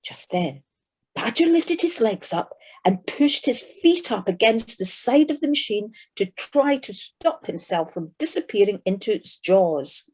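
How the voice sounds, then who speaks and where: A woman's voice: medium pitch, big pitch swings, unhurried, darkish tone, husky. A woman narrating a children's animal tale in an audio play, as a scripted storyteller.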